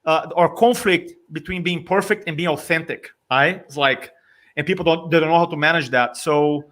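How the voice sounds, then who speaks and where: A man in his fifties from Brazil with a Brazilian Portuguese accent, a communication coach telling stories in a lively way and acting out his own reactions. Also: fairly high pitch, moderate pace. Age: 50s. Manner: animated storyteller, acts out his own reactions. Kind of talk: casual interview chat.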